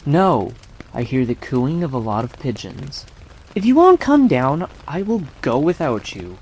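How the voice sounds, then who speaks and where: A woman voicing a gruff, sulky young boy who speaks bluntly and indignantly. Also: extremely low pitch, very animated, measured pace, darkish tone, pitched below the performer's own voice, exaggerated voice, low-quality recording. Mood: stubborn.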